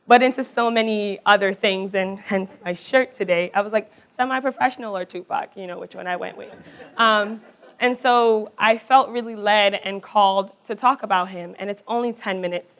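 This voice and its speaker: A young woman with a raspy voice, giving a personal talk on a mic to a live audience, chatty and quick. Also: medium pitch. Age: young adult. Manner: talks fast, warm and chatty, laughs between thoughts. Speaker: alumna speaker. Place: event hall with audience. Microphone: handheld or stage mic.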